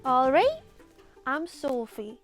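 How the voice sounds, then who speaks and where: A woman's voice: sits high, sing-song, slow, faint music behind. A young woman from County Durham with a broad Geordie accent, saying example words and phrases for an accent lesson in a sing-song way. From County Durham, England. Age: young adult. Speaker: accent demonstrator.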